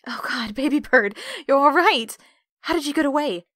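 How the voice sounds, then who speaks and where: A woman's voice: high-pitched, lively intonation, normal speed, a bit bright, no room sound, clean studio sound. A woman playing a protective, concerned ally of assassins in an audio roleplay drama. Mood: anxious, relieved.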